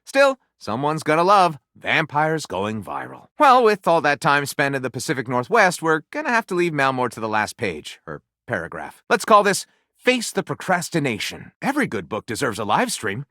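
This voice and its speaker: A man's voice: fairly high pitch, wildly swooping pitch, normal speed, pushed and bright, no room sound, clean studio sound, heavily acted. An actor playing a flippant, self-satisfied male writer in a video game, telling tales with wry, grandiose, theatrical delivery. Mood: wry, exasperated, then grandiose, amused. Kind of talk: dramatic, comedic storytelling voice acting.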